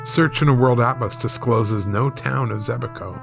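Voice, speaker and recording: A man giving measured, solemn first-person narration of a horror story in a dramatic scripted style. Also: medium pitch, creaky, over music.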